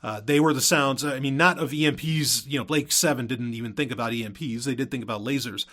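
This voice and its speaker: A man hosting a podcast as an audio drama creator, talking casually about behind-the-scenes work in a halting, rambling way, repeating words for emphasis. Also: medium pitch, a bit bright.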